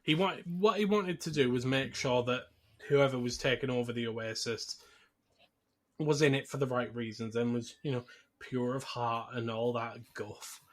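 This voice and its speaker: A man's voice: medium pitch, fairly even intonation, moderate pace. A man hosting a podcast, recounting a film casually and jokily, acting out bits as he goes. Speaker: podcast host. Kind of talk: casual film recap chat.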